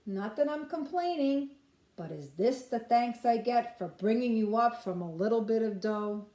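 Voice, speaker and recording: A woman's voice: fairly high pitch, expressive, moderate pace, mellow, roomy sound, lightly acted. A woman voicing a complaining, guilt-tripping old grandmother in a storybook, wry and put-upon. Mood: wry reproach.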